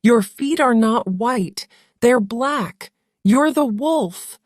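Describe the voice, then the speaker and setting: A woman's voice: fairly high pitch, lively intonation, very slow, dry recording, studio quality, voice pushed up, exaggerated voice. A woman doing eager little goat kids. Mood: defiant.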